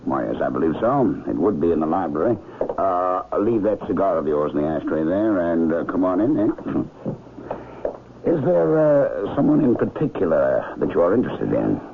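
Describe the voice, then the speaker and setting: An actor playing a courteous, inquisitive doctor in a 1970s radio drama, speaking in a measured, probing way. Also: male voice, deep voice, very animated, vocal fry, full character voice. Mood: genial, curious. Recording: muffled vintage radio recording, faint hiss.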